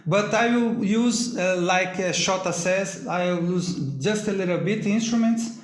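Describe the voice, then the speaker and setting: A man speaks slowly in a fairly high voice, picking through halting non-native English. He explains things casually on a video call, and the sound is roomy and echoey. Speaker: panelist, music arranger.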